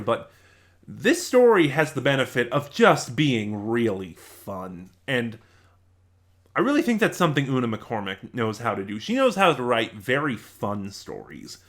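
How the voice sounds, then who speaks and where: A man's voice: mid-range voice, a bit bright. A man giving a casual, unscripted review in a rambling, conversational way, correcting himself as he goes. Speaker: reviewer and channel host.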